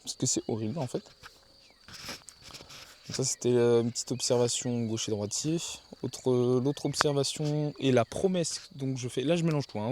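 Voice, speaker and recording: A man's voice: medium pitch, darkish tone, a little hiss or hum. A man keeping a casual spoken diary outdoors in the countryside, thinking aloud and often restarting his sentences. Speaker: video journal keeper.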